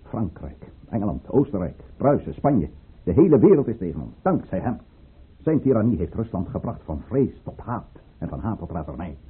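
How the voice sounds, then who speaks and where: An actor playing a ruthless, fervent count who leads a conspiracy, with declamatory, commanding delivery in a Dutch radio play. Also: male voice, lowish voice, big pitch swings, moderate pace, breathy edge, exaggerated voice. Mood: grave, contemptuous, then fervent, forceful. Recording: muffled, narrow-band old radio recording.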